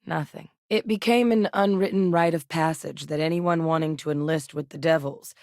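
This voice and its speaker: A voice actress playing a composed woman scientist with a military past, speaking in a measured, clipped, matter-of-fact way. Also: sits low, fairly even intonation, a little mellow, no room sound, studio quality. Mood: steady. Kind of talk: video game voice lines.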